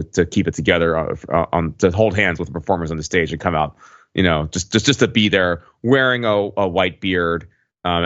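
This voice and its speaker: A man with a very low voice tells stories in relaxed podcast conversation, wandering and pausing as he goes. The sound is slightly dull and lo-fi, with noticeable room echo. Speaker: podcast regular. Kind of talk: casual chat, anecdote telling.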